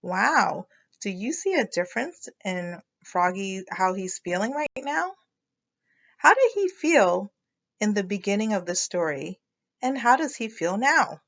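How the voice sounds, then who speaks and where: A woman teacher reading a picture book aloud to children, explaining expressively and pausing to check understanding with questions. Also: medium pitch, sing-song, fairly bright tone, highs cut off.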